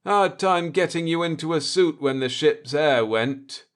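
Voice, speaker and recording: A man voicing a coolly vindictive spaceship navigator in an audiobook, deliberate and sardonic as he explains. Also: on the high side, smooth, pitched above the performer's own voice, natural voice.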